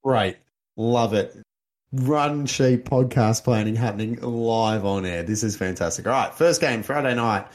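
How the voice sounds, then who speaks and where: An Australian man, his voice hoarse and husky from a night of yelling. He co-hosts a relaxed football podcast at a mid pitch and keeps the chat moving along. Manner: chatty, quick to move things along. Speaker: podcast co-host. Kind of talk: casual sports chat.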